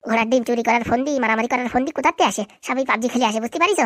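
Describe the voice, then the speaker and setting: A woman's voice: a little high, racing, no room sound, studio quality, close to an everyday voice. A woman voicing a cheeky, lazy young son in a comic cartoon, whiny and insolent. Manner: insolent, whiny back-talk.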